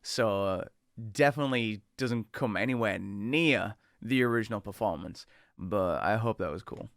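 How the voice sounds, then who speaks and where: A man's voice: fairly low pitch, moderate pace, fairly bright tone, no room sound, studio quality. A man in his mid twenties talking casually as a video creator presenting a challenge. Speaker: content creator doing voice acting challenge. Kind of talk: casual chat to camera.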